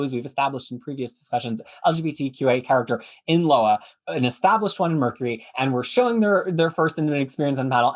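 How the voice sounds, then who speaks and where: A man hosting a podcast, measured as he lays out the question and hands it to the panel. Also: medium pitch. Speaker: podcast host. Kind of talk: moderating a discussion.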